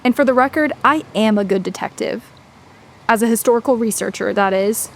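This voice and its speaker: A woman playing a sharp-witted historical researcher in an audio drama, dry and sarcastic. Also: fairly high pitch, fairly quick, slight background noise, close to an everyday voice.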